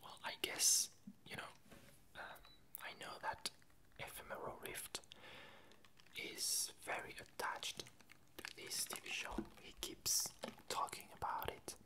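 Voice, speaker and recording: Slow whispering woman, ASMR. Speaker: ASMR video creator. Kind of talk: whispered ASMR, casual update chat.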